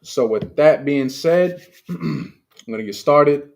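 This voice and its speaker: A man's voice: fairly high pitch, expressive, slow, gravelly. A man preaching an informal, livestreamed sermon alone at his mic. He talks in a loose, conversational way, full of asides and fillers, and keeps putting rhetorical questions to his listeners. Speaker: preacher leading a livestreamed service.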